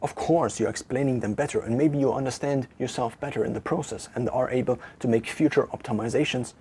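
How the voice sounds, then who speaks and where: A man speaking English with a German accent, thinking aloud to a camera in an empty room, halting and correcting himself as he goes. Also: medium pitch, warm tone, a little hiss or hum. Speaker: vlogger. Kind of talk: casual reflective monologue to camera.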